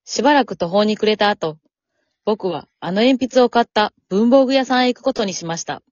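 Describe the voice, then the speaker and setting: A woman's voice: medium pitch, lively intonation, normal speed, darkish tone, no room sound, highs cut off, lightly acted. A woman reading scripted story narration for an amateur radio drama.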